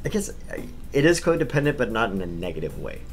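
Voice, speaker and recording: A man's voice: on the low side, sing-song, fast, faint music behind. A man streaming a visual novel read-aloud, switching between playful narration and casual chat.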